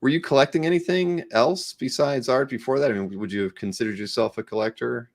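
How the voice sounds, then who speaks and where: A man's voice: medium pitch, a little fast. A man hosting a casual live interview, chatting in a hesitant way with restarted questions. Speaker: interview host.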